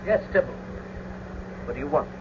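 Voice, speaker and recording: A man's voice: a little high, lively intonation, moderate pace, a little mellow, highs cut off, natural voice. An actor playing a witty, quarrelsome young swordsman with theatrical, taunting delivery in an old radio Shakespeare drama. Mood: mocking, defiant. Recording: noisy, band-limited old radio recording.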